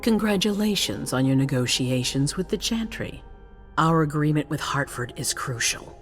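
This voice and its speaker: An actress playing a grave, resolute vampire prince in a game cutscene, speaking formally with measured authority. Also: female voice, low-pitched, expressive, faint music behind. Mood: measured, persuasive. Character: commanding female vampire prince, grave, resolute city ruler. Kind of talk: dramatic video game cutscene acting.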